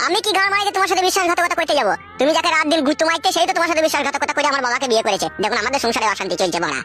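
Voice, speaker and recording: Woman as brash young cartoon son-in-law, high, swooping intonation, argumentative, foul-mouthed, dismissive. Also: animated, racing, fairly bright tone, soft background music. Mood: defensive. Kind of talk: Bengali village comedy cartoon dialogue.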